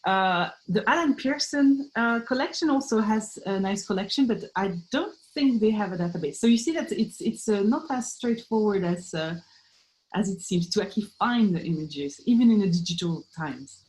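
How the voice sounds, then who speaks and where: A woman's voice: mid-range voice, animated, normal speed, a little mellow, poor audio. A woman giving thoughtful, explanatory answers in an interview as an academic expert. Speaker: professor of art and literature history, interviewee.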